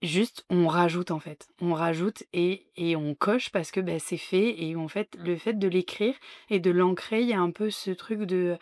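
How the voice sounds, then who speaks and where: A woman's voice: lowish voice, steady tone, a little slow, hardly any pauses, darkish tone, no room sound, clean studio sound. Chatty young woman podcast host. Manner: chatty, laughs easily, many fillers. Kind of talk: casual chat.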